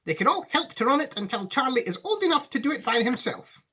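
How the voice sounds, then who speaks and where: A man reading a storybook aloud, voicing an excitable, commanding old factory owner in an emphatic manner. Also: extremely high pitch, fast talker, husky, voice pushed up, heavily acted. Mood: urgent, excited. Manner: emphatic, exclamatory.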